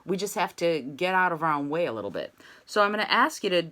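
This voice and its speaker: Woman, voice teacher, lively pitch, encouraging, sings short demo scales, online lesson. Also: fast talker. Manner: encouraging, demonstrates sung vowels.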